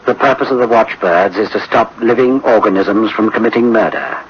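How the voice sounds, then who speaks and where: A man's voice: fairly low pitch, lively intonation, fairly quick, natural voice. A man playing a troubled, conscientious chief of a futuristic project in a dramatic, brooding radio drama style. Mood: grave. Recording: dull, noisy old radio recording.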